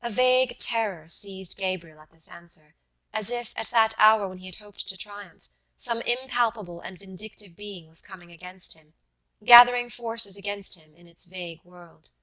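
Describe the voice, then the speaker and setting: A woman reading literary fiction as an audiobook narrator, measured and precise in her phrasing. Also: mid-range voice, pushed and bright, low-quality recording.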